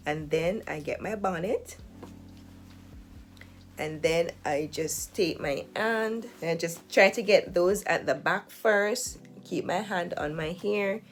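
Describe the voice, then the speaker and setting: Woman, low voice, slightly hoarse, casual vlog talk, step-by-step explaining, indoors at home. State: slightly hoarse, recovering from flu. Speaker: hair care vlogger. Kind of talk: casual tutorial talk to camera.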